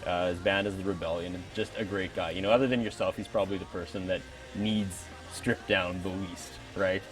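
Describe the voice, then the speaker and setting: Canadian male show host, low voice, casual interview delivery, music behind. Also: steady tone, brisk, rough recording.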